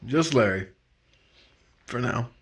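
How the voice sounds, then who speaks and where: A man playing a troubled, unhappy husband in a 1950s-style romantic radio drama, speaking in a halting, earnest way. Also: medium pitch, lively intonation. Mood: tentative. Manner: halting, hesitant delivery. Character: troubled, brooding unhappy husband named Larry. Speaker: actor in an audio drama. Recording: clear boat and waterfront ambience noise. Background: boat and water sound effects.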